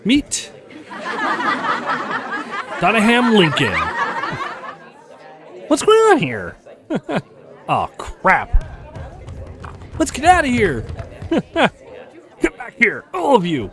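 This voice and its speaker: Very animated man, fairly high voice. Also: extremely slow, long pauses, some chatter behind. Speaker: let's play host reading all the parts. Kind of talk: animated visual novel read-aloud.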